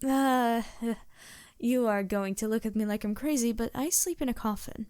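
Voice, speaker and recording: A woman's voice: fairly high pitch, normal speed, a little mellow, smooth, no room sound, clean studio sound, natural voice. A woman playing a polite, anxious vampire posing as a traveling merchant in a fantasy audio roleplay, speaking hesitantly with small stammers and courteous apologies. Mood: sheepish. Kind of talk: fantasy roleplay audio drama, addressing the listener.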